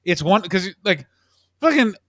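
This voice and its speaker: A man co-hosting a casual comedic chat, excitable and quick to swear and joke. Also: high-pitched, dry recording, clean studio sound. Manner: excitable, swears freely, jokey impressions. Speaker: podcast co-host.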